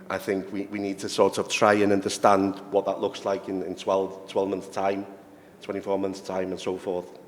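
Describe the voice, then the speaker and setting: A man speaking as a sporting director on a conference panel, measured and repeating words as he builds his points. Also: fairly low pitch. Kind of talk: panel discussion. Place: conference hall. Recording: reverberant hall with faint noise.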